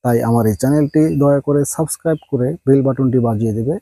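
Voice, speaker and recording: A man's voice: mid-range voice, steady tone, quick pace, mellow, dry recording. A man reading a scripted announcement for a channel.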